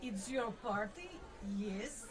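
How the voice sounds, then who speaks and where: A woman's voice: a little high, animated, slow pace. A woman playing a cool, haughty spellcaster adventurer in a comedy radio play. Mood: dry.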